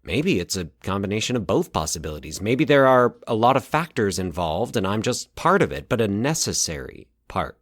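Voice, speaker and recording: A man's voice: lowish voice, very animated, moderate pace, hardly any pauses, no room sound, clean studio sound. A man voicing a baffled, brooding young man who muses to himself in a fiction podcast story. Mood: baffled, pondering. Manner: puzzled, musing to himself. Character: Mike, baffled, brooding young man investigating eerie coincidences. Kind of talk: fiction podcast dialogue within narration.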